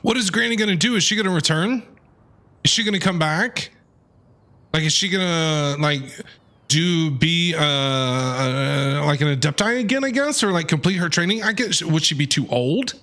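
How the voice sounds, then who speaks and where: A man streaming live game reactions in an excitable, rambling, repetitive way, talking to his chat. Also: on the high side, moderate pace.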